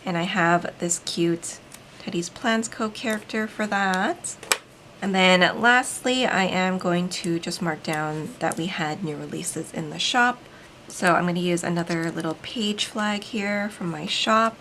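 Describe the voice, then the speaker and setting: A woman from the Philippines casually narrating as she decorates a planner, chatty and relaxed, with frequent ums. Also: fairly low pitch, unhurried, a little hiss or hum. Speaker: planner vlogger and shop owner.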